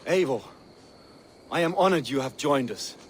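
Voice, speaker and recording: An actor playing an intense, devout Viking seer, solemn, poetic and deliberate, in a video game cutscene. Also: male voice, mid-range voice, animated, a little hiss or hum, lightly acted, rough recording. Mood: solemn, resolute.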